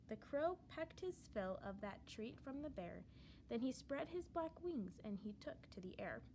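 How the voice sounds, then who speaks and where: A woman reads a rhyming children's story aloud in a high voice. Her intonation is very lively, lifting and falling with dramatic emphasis on the verse. A slight noise sits behind her narration. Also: low-quality recording.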